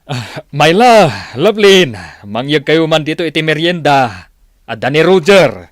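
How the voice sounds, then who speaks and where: A man's voice: a little high, very animated, a little slow, dry recording. An actor playing a hospitable middle-aged father in a radio drama. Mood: welcoming. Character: hospitable middle-aged father welcoming his daughter's suitor.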